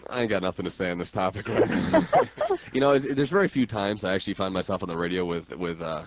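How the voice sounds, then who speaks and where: A man co-hosting a radio show in casual interview chat, with a halting, rambling delivery. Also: low-pitched, very animated, dull audio, very noisy.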